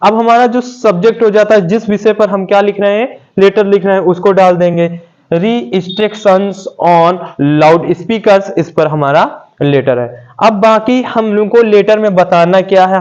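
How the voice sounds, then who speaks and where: A man's voice: sits high, darkish tone. A man with a North Indian accent giving an explanatory lesson as a teacher, repeating points and asking questions that he answers himself. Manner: repetitive, asks and answers own rhetorical questions. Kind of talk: explanatory lesson, English phrases glossed in Hindi. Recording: dull lo-fi audio.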